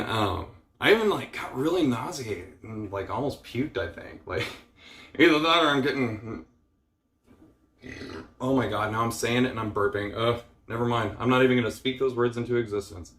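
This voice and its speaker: A man's voice: mid-range voice, moderate pace, a bit bright. Weary man rambling in an echoey room. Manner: rambling, many fillers, frustrated and weary; swears casually. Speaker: vlogger. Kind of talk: casual personal vlog rant.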